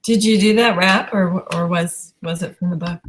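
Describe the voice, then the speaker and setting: A woman's voice: on the low side, fairly even intonation, normal speed, slight background noise. A woman chatting casually on a panel about books, informal and conversational. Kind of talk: casual chat.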